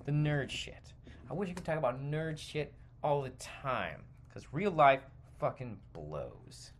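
Animated American man on a rant. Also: mid-range voice, unhurried, a little hiss or hum. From United States. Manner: exasperated, foul-mouthed, emphatic, repeats himself. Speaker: podcast host. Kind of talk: stream-of-consciousness comedic rant.

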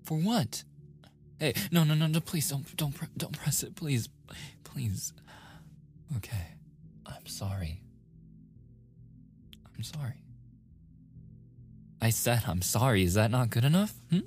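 A man playing a flustered, submissive young boyfriend in a soft-spoken ASMR roleplay, stammering and sighing, often slipping into whispers. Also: mid-range voice, expressive, slow, pauses often, soft background music. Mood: pleading, flustered. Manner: stammering, sighing, breathy asides, protesting. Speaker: audio roleplay voice performer.

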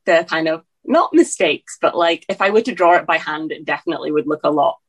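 A woman's voice: low voice, brisk, breathy edge. A woman in her twenties with an English accent tinged with Scottish, answering interview questions hesitantly, with many fillers and restarts. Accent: English with a slight Scottish tinge. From England. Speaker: guest.

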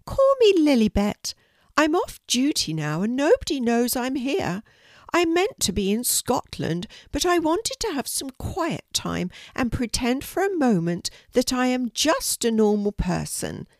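A woman voicing a gracious, kindly elderly queen with a gentle, genteel manner in a storybook reading. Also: high-pitched, big pitch swings, a little slow, dry recording, studio quality, pitched above the performer's own voice, full character voice.

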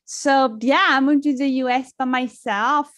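A woman's voice: sits high, fairly even intonation, unhurried, a bit bright, dry recording. French lady chatting away, lots of um and you know, dry close sound. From France. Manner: chatty, many fillers and restarts. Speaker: guest songwriter. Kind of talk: casual interview chat.

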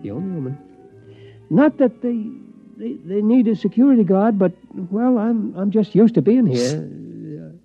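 A folksy, lonely security guard in an old radio play. His voice is a little high and very animated, with hesitant, rambling delivery. The sound is lo-fi and vintage, with music behind. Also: normal speed, husky, full character voice. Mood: folksy, resigned. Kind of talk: old-time radio drama narration.

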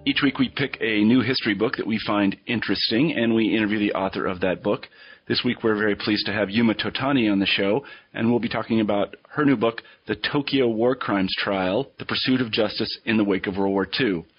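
A man's voice: lowish voice, brisk, highs cut off. A man hosting an interview podcast in a conversational style. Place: remote interview call from Iowa.

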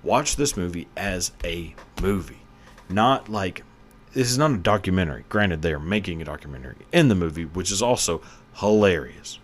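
Deep-voiced rambling podcast host. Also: male voice, expressive, normal speed. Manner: rambling, joking, profane, with frequent fillers. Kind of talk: casual solo commentary.

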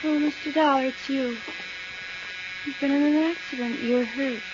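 A fragile, unhinged society widow played by an actress in a vintage radio drama. Her high voice rambles childishly and circles back on words. The old recording is dull, with clear hiss. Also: steady tone, lightly acted. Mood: dazed, wistful. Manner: halting, repetitive, rambling. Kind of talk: 1950s radio drama acting. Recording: muffled, hissy 1950s radio recording.

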